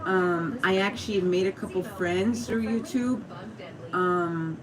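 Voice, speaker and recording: A woman's voice: on the low side, a little slow, crowd noise. A woman with a Mexican American accent, chatting in a meandering way on a casual livestream, with lots of fillers and restarts and greetings to viewers. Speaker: YouTube live streamer. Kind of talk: casual rambling livestream chat. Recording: noisy livestream audio.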